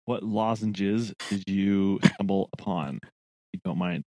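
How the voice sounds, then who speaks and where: A man's voice: sits low, a little slow, darkish tone, no echo. A man co-hosting a podcast, chatting casually with short reactions and laughing along. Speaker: podcast co-host. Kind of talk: casual chat.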